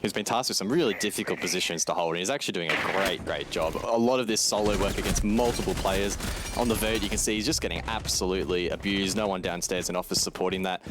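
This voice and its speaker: A man's voice: low-pitched, fast talker, hardly any pauses, very noisy. Informal male esports caster with gunfire behind. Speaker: esports match commentator. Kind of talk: live game commentary, conversational banter. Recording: clear game gunfire behind the voice. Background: game gunfire.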